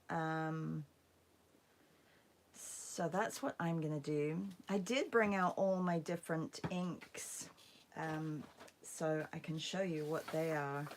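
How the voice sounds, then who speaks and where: A woman with a hoarse, croaky voice after a concert, chatting casually with viewers as she hosts an art livestream. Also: sits low. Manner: chatty, greets viewers by name. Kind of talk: casual livestream chat while painting.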